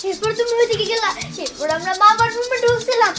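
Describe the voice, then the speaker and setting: An excitable little Bangladeshi child, with an extremely high, piping voice. The kid tattles insistently in cartoon style, with loud music underneath. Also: female voice, fast. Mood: excited. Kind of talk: children's fairy-tale cartoon acting.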